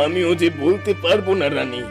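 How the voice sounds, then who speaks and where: A man acting a sorrowful, high-pitched cartoon king. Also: lively intonation, fairly quick, vocal fry. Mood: sorrowful, helpless. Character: sorrowful, helpless fairy-tale king, father of a daughter. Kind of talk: melodramatic cartoon dialogue. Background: background music.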